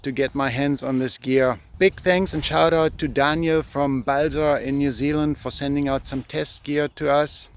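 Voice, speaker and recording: A man in his thirties from Germany with a German accent, casually reviewing gear to camera as a channel host in an informal, conversational way. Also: medium pitch. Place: outdoors on an open beach by the water.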